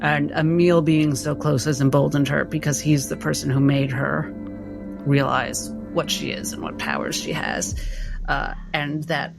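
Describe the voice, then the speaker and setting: A woman playing a tabletop game, narrating her character's actions in a halting, dramatic way. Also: low-pitched, lively intonation, vocal fry. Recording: music bed under the voice.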